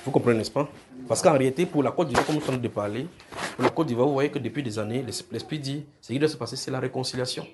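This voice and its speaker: A man's voice: medium pitch, fast talker. Male preacher, Francophone African accent, mid-range voice, extempore prophecy to a congregation, repetitive, noisy live recording. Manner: repeats phrases, checks listeners follow. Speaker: preacher telling prophetic visions.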